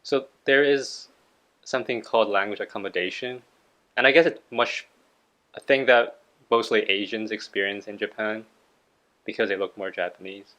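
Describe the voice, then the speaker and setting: A man hosting an interview, asking questions and sharing views in a measured, reflective way. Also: fairly low pitch, fairly even intonation, fairly bright tone, low-quality recording. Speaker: interviewer, channel host. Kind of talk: casual interview conversation.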